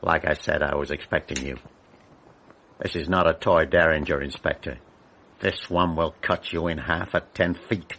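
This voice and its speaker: A man's voice: rumbling low, fairly even intonation, normal speed, bright, forceful tone, a little hiss or hum, exaggerated voice. An actor playing an ageing Hollywood film director in a radio drama, cynical, bitter and bluntly laconic, a man in his sixties. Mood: cold menace. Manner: laconic, worldly, bluntly defiant.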